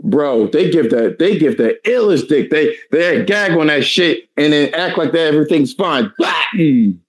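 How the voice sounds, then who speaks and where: Hoarse thirty-something guy ranting hard, swears a lot, kinda high voice, raspy from no sleep. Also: lively intonation, normal speed. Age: 30s. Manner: profane, ranting, emphatic. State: tired, hoarse voice. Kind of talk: heated casual chat.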